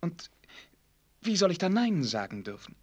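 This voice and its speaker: Earnest, eager young prince, animated pitch. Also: male voice, breathy edge, full character voice. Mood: earnest, pleading. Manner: courtly, eager, hesitant and halting. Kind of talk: German radio drama acting.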